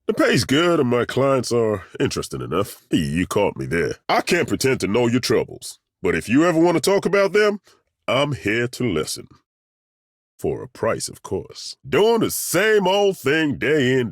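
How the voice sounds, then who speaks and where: An actor playing a burly, good-humoured ageing masseur turned adventurer in a video game, with a husky voice and a folksy, self-deprecating, joking way of talking. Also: male voice, medium pitch, no room sound, studio quality. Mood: wry, friendly. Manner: folksy, joking, chuckling, drawn-out hesitations. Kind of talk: video game character dialogue.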